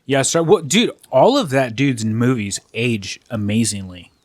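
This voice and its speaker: A man's voice: mid-range voice, measured pace, dry recording. A man co-hosting a casual podcast chat, rambling loosely and swearing as he talks into a studio mic. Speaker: podcast co-host.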